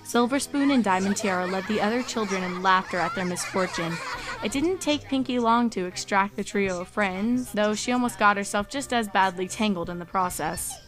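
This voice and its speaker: Woman reading a storybook as narrator, mid pitch, bit of music under it. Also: moderate pace.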